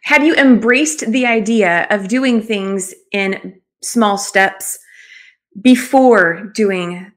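A woman in her forties hosts an interview from a room at home over a video call. Her voice sits at a medium pitch. She speaks conversationally, affirming and summing up what she has heard. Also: very slow. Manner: affirming, reflects back what the guest said. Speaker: show host and confidence coach. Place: small room at home, indoors.

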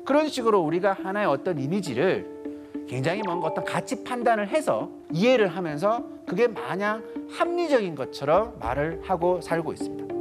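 Male lecturer, 30s, expressive, swinging delivery, acts out quotes, stage mic, faint music underneath. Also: high voice, brisk. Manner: animated, acts out little quoted voices. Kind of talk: lively lecture to a studio audience. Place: large TV studio stage with audience.

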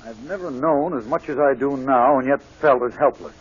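An actor playing a blunt, exasperated American police inspector in an old radio drama, slangy and grumbling. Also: male voice, medium pitch, moderate pace, breathy edge, natural voice. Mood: frustrated. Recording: dull, muffled old radio broadcast.

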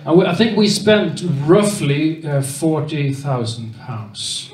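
A man's voice: a little high, takes it slowly. A man in his thirties from Sweden with a Swedish accent, doing a self-deprecating stand-up set on a club stage. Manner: self-deprecating, playful, animated impressions. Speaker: stand-up comedian. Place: comedy club stage before a live audience. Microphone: handheld mic. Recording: roomy club sound from far off, loud crowd laughter.

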